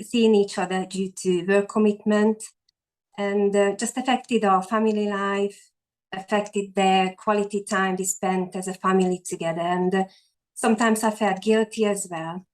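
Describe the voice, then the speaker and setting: A woman's voice: medium pitch, poor audio. A woman in her forties from Hungary with a Hungarian accent, a guest on a video call telling her story earnestly, with many fillers and restarts. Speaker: guest, online entrepreneur. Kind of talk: casual interview chat.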